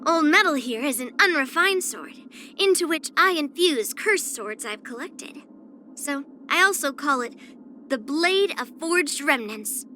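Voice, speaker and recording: Bright, high-voiced girlish young swordswoman. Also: animated, unhurried, slight background noise, full character voice. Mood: earnest, proud. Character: spirited young swordswoman, blunt, proud, girlish. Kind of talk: character voice lines for an anime-style video game.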